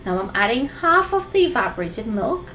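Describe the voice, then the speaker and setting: A woman's voice: on the high side, very animated, moderate pace, a bit bright, slight background noise. A woman presenting a recipe for children in an instructive, friendly demonstration style, speaking directly to them.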